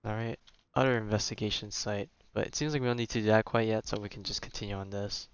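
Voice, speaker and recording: Male streamer, lowish clean voice, casual muttered commentary, close dry recording with dulled top end. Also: steady tone, quick pace, clear, resonant tone, no room sound, highs cut off. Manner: slangy, muttering, thinking aloud. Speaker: game streamer. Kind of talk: casual live gameplay chat.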